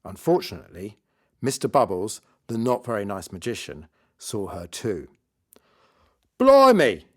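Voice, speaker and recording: A man narrating a children's story in a lively, scripted read-aloud style. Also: fairly low pitch, normal speed. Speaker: author reading his children's book.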